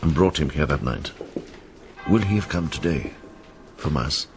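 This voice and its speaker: An actor playing a shrewd, devout medieval monk who investigates, with a British accent and a measured, pondering delivery in a radio drama. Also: male voice, a bass voice, expressive, over music. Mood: calm, pondering. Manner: measured, hesitant pondering, gently authoritative.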